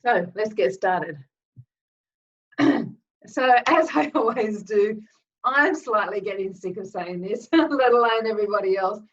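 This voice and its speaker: A woman energy healer hosting a live webinar with a slightly croaky throat, chatting casually with lots of ums and greeting viewers by name. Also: mid-range voice, a little slow. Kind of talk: casual live-stream talk. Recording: distant, dull lo-fi webinar audio.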